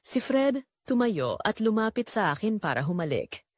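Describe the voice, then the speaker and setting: A woman's voice: mid-range voice, big pitch swings, moderate pace, dry recording, studio quality. A woman with a Filipino accent reading a scripted first-person dramatic story as narrator.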